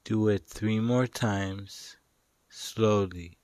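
Male meditation guide: very slow, flat, soothing delivery, long pauses between simple instructions, dry recording. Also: on the low side, no echo. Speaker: meditation guide and show host.

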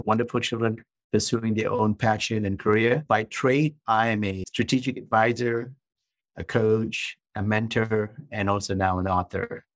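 Man from Malaysia, low voice, dry close sound, earnest explaining, podcast guest. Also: no room sound. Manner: earnest, explanatory, uses rhetorical questions. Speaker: guest author and coach. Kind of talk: podcast interview.

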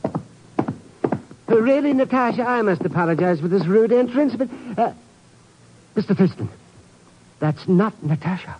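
A man's voice: sits high, lively intonation, moderate pace, pauses often, husky. An actor playing a nervous, fussy, apologetic man in a 1950s radio drama, polite and hesitant. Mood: flustered. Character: fussy, nervous, apologetic male sidekick and professor. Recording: muffled old radio recording.

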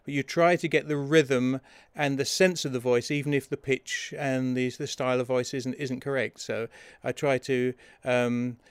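A man's voice: mid-range voice, flat delivery, normal speed, clean tone, no echo. A British man, a film puppeteer, telling anecdotes in a casual interview and laughing often. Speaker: puppeteer and guide-voice performer.

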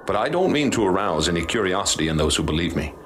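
A low voiced haunted professor, formal n ominous, radio drama, noisy ambience behind. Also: male voice, very animated, quick pace, fairly bright tone. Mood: ominous, troubled. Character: grave haunted male professor recounting horrors he witnessed. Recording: clear background noise and ambience.

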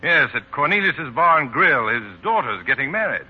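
An earnest, sociable speechwriter in a 1940s radio drama. His voice sits fairly high and swings widely, exclamatory and then hesitant when he is cornered. The old broadcast sounds dull. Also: sing-song, normal speed, breathy edge. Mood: sheepish, explaining. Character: Stephen, earnest busy male political speechwriter, sociable but evasive.

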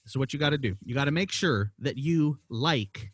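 A man's voice: medium pitch, moderate pace, slightly rough, no echo. A man co-hosting a casual podcast, joking and teasing his co-host, often repeating phrases. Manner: jokey, animated, repeats phrases.

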